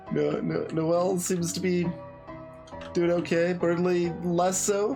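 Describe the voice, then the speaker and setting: A man giving casual, musing commentary as he plays a video game, often hesitating and trailing off. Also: fairly high pitch. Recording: music behind the voice. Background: game music.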